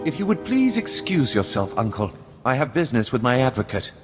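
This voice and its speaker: A man acts a composed noble schemer for a video game. His mid-pitched voice moves expressively, formal yet wry. It rings in a long hall over light music. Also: very animated, brisk, close to an everyday voice. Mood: cool. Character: composed, calculating nobleman spymaster, courtly and dry.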